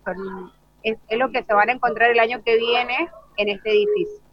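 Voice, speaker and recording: Halting woman, dry dull sound. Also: a little high, fairly even intonation, no echo. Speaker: primary school director being interviewed. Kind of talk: spontaneous spoken interview.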